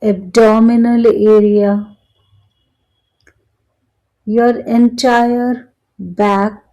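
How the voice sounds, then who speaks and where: Very slow, mellow woman guiding meditation. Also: on the high side, low-quality recording. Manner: calm, soothing, short phrases with long pauses. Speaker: meditation guide. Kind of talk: slow guided meditation narration.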